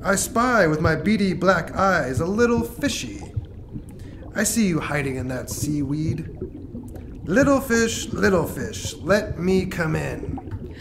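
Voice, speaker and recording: A woman puts on a hungry big bad shark for a puppet show. He schemes in drawn-out, theatrical menace, his pitch swooping widely over clear background noise. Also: lively intonation. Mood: gleeful menace. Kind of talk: children's puppet show character.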